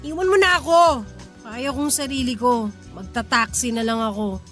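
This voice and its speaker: An actress in a Filipino radio drama playing a heartbroken, stubborn betrayed wife who is drunk and tearful. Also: female voice, a little high, normal speed, fairly bright tone, faint music behind, close to an everyday voice. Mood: irritated, defiant.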